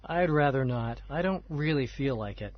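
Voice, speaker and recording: A man's voice: mid-range voice, expressive, normal speed, no room sound, natural voice. A man acting a reluctant, easygoing guy in a short scripted dialogue. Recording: dry dull lo-fi audio.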